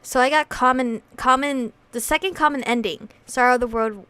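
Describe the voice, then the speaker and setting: A woman's voice: sits high, normal speed, bright, forceful tone, clear, resonant tone, dry recording. A woman doing a casual visual novel playthrough, reading story text aloud and reacting with slangy, sweary commentary. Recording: music playing behind the voice. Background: background music.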